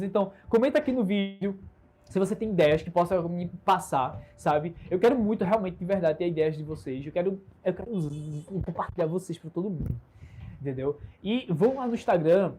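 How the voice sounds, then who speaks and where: Young Recife entrepreneur hosting his own livestream: self-assured, earnest, mellow husky voice, recorded on a phone. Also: high-pitched, lively intonation, brisk. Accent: Recife Brazilian Portuguese. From Recife, Brazil. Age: 20s. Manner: earnest, upbeat, self-assured, gestures a lot. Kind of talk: casual solo livestream talk. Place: bedroom. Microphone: smartphone camera.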